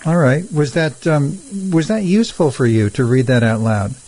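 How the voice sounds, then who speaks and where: A man hosting a casual interview, asking unhurried, curious follow-up questions. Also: medium pitch, expressive, darkish tone. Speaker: radio show host.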